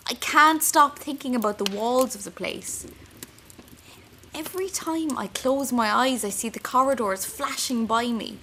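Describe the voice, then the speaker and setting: A woman's voice: a little high, a little slow, sharp tone, a little hiss or hum. A woman with an Irish accent playing an anxious, wry young intern confiding in her diary in an audio drama. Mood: uneasy, wry. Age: young adult. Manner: intimate, wry, self-mocking.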